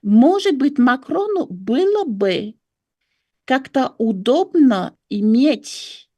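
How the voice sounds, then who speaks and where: French-accented woman, lively intonation, searching for words. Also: sits high, slow, husky, no room sound. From France. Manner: hesitant, searching for words, self-correcting. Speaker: political commentator and writer. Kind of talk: interview analysis.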